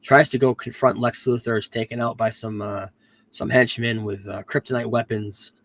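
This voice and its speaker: A man's voice: medium pitch, fairly even intonation, moderate pace. A man talking casually as a podcast host, rambling through a plot recap with lots of ums and self-corrections, getting over a cold and losing his voice. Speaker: podcast host reviewing a TV series.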